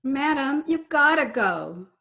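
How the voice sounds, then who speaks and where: A woman's voice: high-pitched, very animated, slow pace, vocal fry, sharp tone, heavily acted. A woman playing a brassy, wisecracking Broadway dame with sardonic slang, in old-time radio drama style. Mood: firm. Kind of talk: 1940s radio drama acting.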